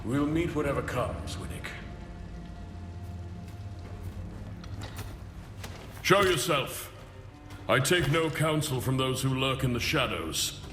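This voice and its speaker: An actor playing a dignified, cautious middle-aged governor in a fantasy game, speaking in a formal, measured, commanding way. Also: male voice, mid-range voice, lively intonation, lightly acted. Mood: stern. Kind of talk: dramatic fantasy game acting. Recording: roomy long reverb, music behind.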